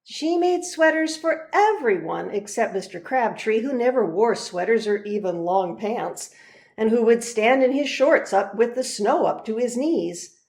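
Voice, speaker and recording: A woman narrating a children's picture book, expressive and deliberate, pausing for emphasis. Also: on the high side, gravelly. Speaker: reverend reading a picture book.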